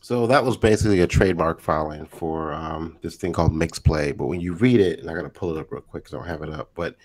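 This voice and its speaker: Deep-voiced easygoing man. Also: fast talker, a little mellow. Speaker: podcast co-host. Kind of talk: casual podcast chat.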